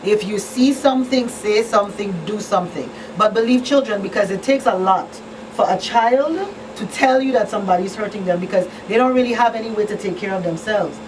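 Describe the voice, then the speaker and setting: A woman's voice: mid-range voice, brisk, darkish tone. A woman speaking as a talk show host in an emphatic, explanatory, conversational way. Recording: distant mic, clear background noise.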